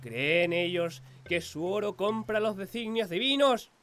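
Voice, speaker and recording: A young, indignant priest in a radio play. His voice is high and he orates with righteous fervour. Soft music plays behind. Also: expressive, a little slow, no echo. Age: young adult. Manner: fervent, oratorical. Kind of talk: radio drama acting.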